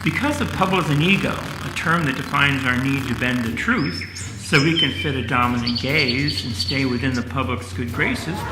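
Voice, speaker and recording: Man acting a grandiose ringmaster in deep echo. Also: normal speed, close to an everyday voice. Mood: solemn, oratorical. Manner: declamatory, speech-making. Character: grandiose ringmaster leader addressing his public by video. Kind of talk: radio drama acting. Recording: very echoey, distant, music behind.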